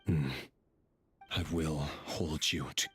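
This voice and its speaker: A man's voice: a bass voice, fairly even intonation, slow, a bit bright, slightly rough, exaggerated voice. A man playing a brooding young warrior in a video game, speaking earnestly and theatrically as he tells his tale. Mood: intense, accusing. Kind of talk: dramatic video game voice acting. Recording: background music under the dialogue.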